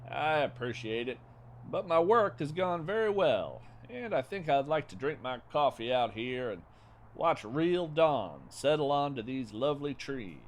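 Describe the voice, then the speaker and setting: A man playing a gentle, whimsical naturalist in a fiction podcast, narrating with folksy, reflective wonder and deliberate phrasing. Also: mid-range voice, a little hiss or hum, close to an everyday voice. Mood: warm, grateful. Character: gentle, whimsical male naturalist marvelling at strange creatures. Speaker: host and narrator. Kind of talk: scripted fiction podcast narration, storytelling monologue.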